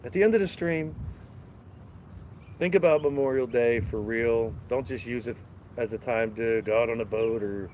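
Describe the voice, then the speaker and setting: A man's voice: medium pitch, moderate pace, a little mellow. Casual male streamer, congested, rambling outdoor garden talk on a phone mic, faint street noise behind. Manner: rambling, laid-back, talks to chat while walking. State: stuffed up. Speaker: live stream host. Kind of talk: casual live-stream chat. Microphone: phone camera held while walking.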